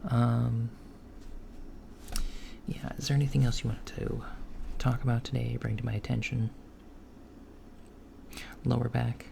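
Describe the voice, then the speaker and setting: A man playing a calm, attentive male alien doctor in a softspoken ASMR roleplay, talking gently and hesitantly, with frequent ums and slips into a whisper. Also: mid-range voice, creaky. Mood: gentle. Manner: soft-spoken, unhurried, slipping into whispers. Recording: lo-fi with steady background hiss.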